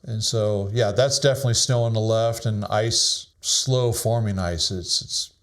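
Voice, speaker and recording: A man's voice: on the low side, fairly even intonation, unhurried. Modest, rambling middle-aged man. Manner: laughs often, modest and rambling. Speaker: photographer showing his photos. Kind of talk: casual chat.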